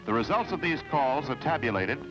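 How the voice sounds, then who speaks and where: A man with an American accent narrates a 1950s training film from a script in a formal, measured, explanatory way. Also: medium pitch, expressive, rough recording. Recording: vintage 1950s film soundtrack with music.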